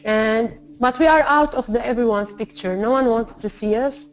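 A woman's voice: on the high side, moderate pace, over music. Emphatic woman. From Syria. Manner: emphatic, builds repetitive lists. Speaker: interviewee, writer. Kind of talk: personal testimony in an interview. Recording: slightly dull lo-fi sound.

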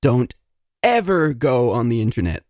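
A man's voice: mid-range voice, sing-song, moderate pace, a little mellow, dry recording, studio quality, natural voice. A man voicing a self-pitying failed dungeon master in a comedy audio drama, talking in a melodramatic way. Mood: dramatic. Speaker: narrator.

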